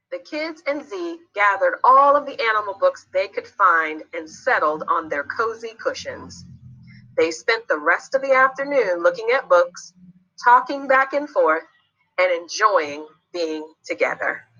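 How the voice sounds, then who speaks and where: A woman's voice: mid-range voice, lively intonation, a little slow, bright, forceful tone, highs cut off. A woman narrating a children's read-along story expressively, putting guiding questions to young listeners.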